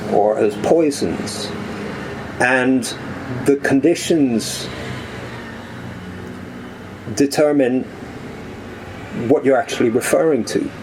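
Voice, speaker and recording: A man talking as a podcast guest in a living room, reflective and halting, with frequent restarts as he thinks aloud. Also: medium pitch, gravelly, noisy background. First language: English. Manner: thoughtful, halting, many restarts. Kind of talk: reflective conversational interview.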